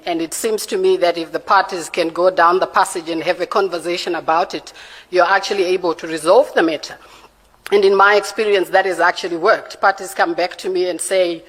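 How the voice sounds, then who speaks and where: A Zimbabwean woman in her forties answering formal interview questions in a measured, careful and hesitant way, with a Zimbabwean accent. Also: on the low side, a bit bright. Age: 40s. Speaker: candidate for judge being interviewed. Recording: reverberant hall sound.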